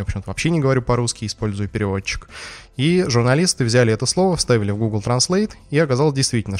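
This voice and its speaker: A gravelly, fairly low male voice with a lot of fry. He is a security expert on a podcast, telling a story at an unhurried pace and breaking it up with hesitant fillers. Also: darkish tone. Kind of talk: podcast explanation.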